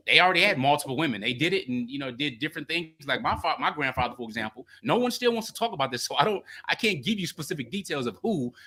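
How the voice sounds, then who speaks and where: A man hosting a call-in advice show as a coach, talking in an animated, slangy storytelling way. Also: on the high side.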